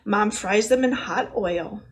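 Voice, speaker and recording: A woman's voice: medium pitch, takes it slowly. A woman hosting a children's storytime, reading a picture book aloud with simple, chatty asides to the young listeners. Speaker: storytime host.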